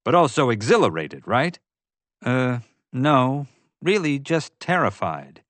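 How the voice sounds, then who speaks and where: A man playing an earnest young boy in a storybook. He speaks frankly and a little uneasily, lifted in pitch with lively inflection. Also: slow pace, no room sound. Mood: uneasy. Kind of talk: audiobook character voice.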